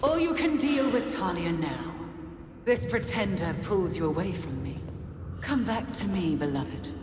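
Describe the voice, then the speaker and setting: An actress playing a ruthless, seductive villainess in a video game cutscene, grand and commanding in manner. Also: female voice, fairly low pitch, animated, unhurried. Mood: seductive, imperious. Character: imperious villainess, cult leader, seductive and ruthless. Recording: echoey hall, loud background noise.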